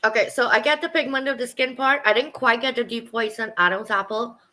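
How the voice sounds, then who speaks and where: A woman's voice: on the high side, brisk, sharp tone. A woman hosting an interview podcast, talking frankly and casually with curious follow-up questions. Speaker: podcast host.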